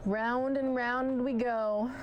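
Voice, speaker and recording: A woman news anchor giving live commentary on a police chase, chatting directly with viewers, her voice starting to go hoarse. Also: fairly high pitch, steady tone, slow pace, lo-fi sound. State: losing her voice.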